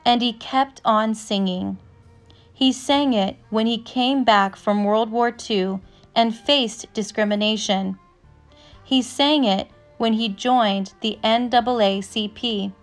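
A woman narrator reading a children's picture book aloud from a script, with measured, careful phrasing. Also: a little high, steady tone. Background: instrumental music.

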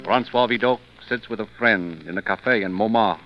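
A man giving measured, dramatic scripted narration in a 1930s radio style. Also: fairly low pitch. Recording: dull 1930s radio recording. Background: music.

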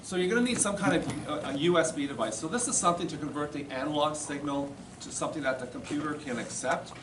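A man's voice: a little high, fast talker. A middle-aged man giving a plain-spoken, explanatory demonstration talk in an informal way. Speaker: presenter at a society meeting. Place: classroom or lecture room, indoors. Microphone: far camera. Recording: roomy, distant, noisy camera recording.

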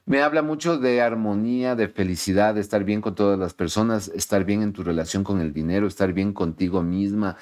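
A man's voice: fairly low pitch, a little fast, hardly any pauses, a little mellow. A middle-aged man giving a tarot reading to camera in a home study, speaking in an emphatic, repetitive, encouraging pep-talk manner. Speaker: tarot reader. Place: home study with bookshelves, indoors.

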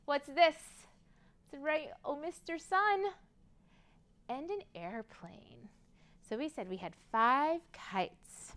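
A woman's voice: sits high, animated, takes it slowly, pauses often, a bit bright. An American woman in her thirties leading a children's storytime in a sing-song, exaggerated way for little kids. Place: library room, indoors. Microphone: lapel.

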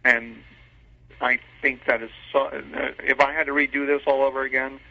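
Male interview guest, medium pitch, casual, self-deprecating, hesitant delivery, lo-fi telephone audio. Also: level tone, normal speed, gravelly, a little hiss or hum. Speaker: interview guest, radio drama producer. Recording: dull phone-line sound.

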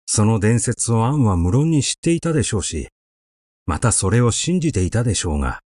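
A man reading literary fiction aloud as scripted narration, in a measured, dramatic storytelling manner. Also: on the low side, very animated, darkish tone, dry recording, studio quality. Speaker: audiobook reader. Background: music.

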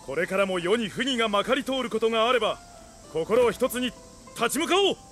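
An actor playing a zealous, righteous young samurai strategist in a game, declaiming theatrically and with grand ideals. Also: male voice, extremely high pitch, lively intonation, brisk, fairly bright tone, faint music behind. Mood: confident. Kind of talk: Japanese samurai game cutscene acting.

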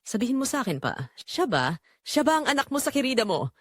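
A woman's voice: fairly high pitch, big pitch swings, brisk, no room sound, clean studio sound, voice pushed up. A woman voicing a hurt, angry young man in an audio drama, accusing and intense.

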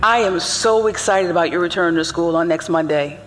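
A woman's voice: fairly low pitch, a little fast, natural voice. A middle-aged woman playing a firm, caring school principal in a stage drama, speaking emphatically. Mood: cheerful. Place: theatre stage before an audience. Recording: echoey theatre hall, loud music.

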